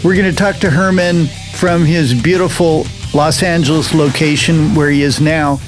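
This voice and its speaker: An upbeat man with a slightly higher voice hosts the interview. He talks in an enthusiastic, friendly way and laughs often, and faint music plays behind him. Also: fairly even intonation, normal speed. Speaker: interviewer and show host. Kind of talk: interview host.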